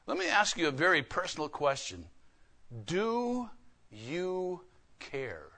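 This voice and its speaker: A man's voice: high voice, huge pitch swings, slow pace, vocal fry, sharp tone. A man, probably middle-aged, preaching in a folksy, emphatic way with personal stories and rhetorical questions. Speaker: preacher and missionary. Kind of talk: sermon with personal anecdotes.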